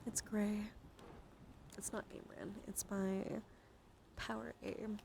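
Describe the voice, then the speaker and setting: A woman hosting an ASMR livestream, speaking softly and deliberately, often slipping into whispers and gently repeating phrases. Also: medium pitch, flat delivery. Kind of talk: ASMR, slow soft talk to live chat. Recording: clearly audible background noise.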